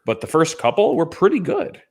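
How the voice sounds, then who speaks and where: A man's voice: a little high, huge pitch swings, a little fast, breathy edge. A man co-hosting a casual film discussion, giving emphatic opinions and repeating points for stress. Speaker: podcast co-host reviewing films.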